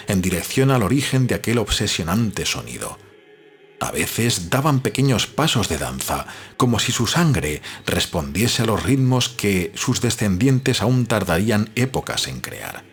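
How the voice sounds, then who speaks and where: Deep-voiced male audiobook narrator, expressive. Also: a little slow. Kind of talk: scripted literary narration of a novel.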